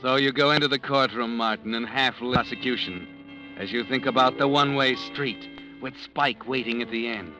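An actor playing a brooding crime-story narrator in old radio style, suspenseful and deliberate. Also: male voice, mid-range voice, natural voice, poor audio. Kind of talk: 1940s-50s radio drama narration. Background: dramatic instrumental music.

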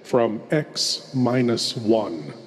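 Slow, theatrical male horror host in cavernous reverb. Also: mid-range voice, lively intonation, a little hiss or hum. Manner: dramatic, deliberate, hushed at times. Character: ghoulish horror host lord persona. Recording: long hall reverb.

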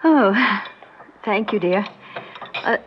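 A woman's voice: medium pitch, expressive, slow. An actress playing an anxious, vain wife and mother of about forty, genteel and hesitant, in a mid-century radio drama. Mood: uneasy, wistful. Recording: muffled, noisy old radio recording.

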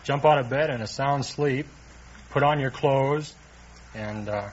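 A man's voice: medium pitch, a little slow. A man from Texas with an American accent, answering politely and hesitantly as a radio quiz contestant. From Texas, United States. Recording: noisy, muffled old 1950s radio recording.

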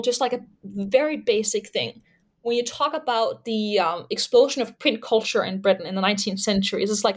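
A Danish woman, a native Danish speaker, talking as an author about her research in an interview, thoughtful and full of fillers and restarts. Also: mid-range voice. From Denmark. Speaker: author and historian guest. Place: Canada.

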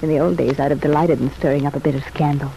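An actress playing a contrite, earnest young ex-wife in an old-time radio soap opera, speaking in a confiding, measured way. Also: female voice, low-pitched, fairly even intonation, vocal fry, warm tone. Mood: resolved. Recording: noisy, band-limited vintage radio recording.

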